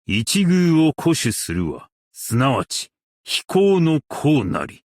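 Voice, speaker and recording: A man playing a principled, stern yet merciful scholar-strategist in a game, speaking in a formal, archaic and measured way. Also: on the high side, huge pitch swings, a little mellow, no room sound, studio quality, exaggerated voice. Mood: commanding, resolute. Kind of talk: Japanese game character voice lines.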